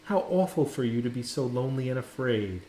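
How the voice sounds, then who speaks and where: Man acting kind, animated male schoolteacher, echoey room. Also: mid-range voice, fairly quick, a little hiss or hum, close to an everyday voice. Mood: compassionate. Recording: roomy, echoing indoor sound.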